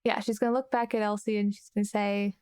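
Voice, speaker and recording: A woman's voice: medium pitch, flat delivery, fast talker, a little mellow, clear, resonant tone. A woman player casually narrating her character in a tabletop game, thoughtful and hesitant.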